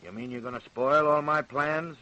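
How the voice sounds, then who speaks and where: A man's voice: fairly high pitch, steady tone, normal speed, pushed and bright, lo-fi sound. An actor with an American accent playing a possessive, evasive working-class father in an old-time radio crime drama. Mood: coaxing, controlling.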